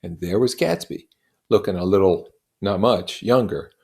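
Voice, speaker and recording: A man reading literary fiction aloud as an expressive narrator, with deliberate, dramatic phrasing. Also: low voice, gravelly.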